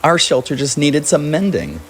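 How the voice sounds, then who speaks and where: Guy doing a scripted kids story read-aloud, measured and clear, soft guitar music behind him. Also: fairly high pitch, sing-song. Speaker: children's read-aloud show host.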